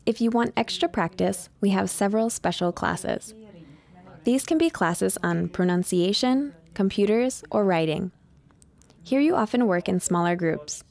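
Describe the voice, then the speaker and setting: Woman, scripted voice-over, even delivery, slight fry. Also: mid-range voice, measured pace. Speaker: voice-over narrator and interpreter. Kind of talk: scripted informational narration.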